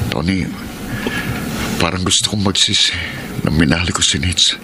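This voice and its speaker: A man's voice: low voice, moderate pace, background noise. A Filipino man playing a betrayed husband in a radio drama, bitter, wounded and emotional in delivery. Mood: bitter, regretful.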